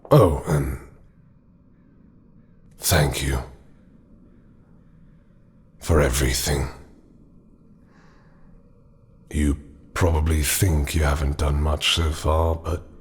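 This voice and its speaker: A man playing an immortal, possessive yet tender deity in a dark fantasy romance audio roleplay, speaking intimately and often sinking into murmurs. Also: very deep voice, fairly even intonation, heavily acted. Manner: intimate, slow, dropping into murmurs and whispers. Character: immortal male deity, possessive, tender, darkly seductive ruler.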